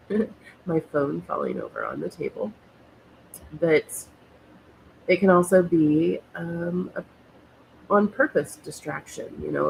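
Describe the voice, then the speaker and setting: A woman host with a very low, gravelly voice, stuffed up from a cold. She talks haltingly, leaning on fillers, over a faint background hiss. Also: rough recording. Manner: halting, lots of fillers and pauses. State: has a cold, snuffly. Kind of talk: casual chat.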